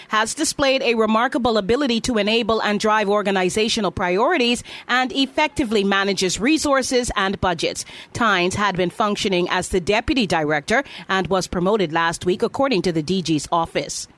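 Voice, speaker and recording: A woman's voice: a little high, fairly bright tone, no room sound. A woman anchoring a scripted news bulletin, reading reports in a formal presenting style.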